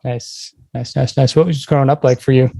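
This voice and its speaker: A man's voice: mid-range voice, steady tone, moderate pace, gravelly, dark tone, no echo. A man hosting an interview podcast in a casual, conversational style.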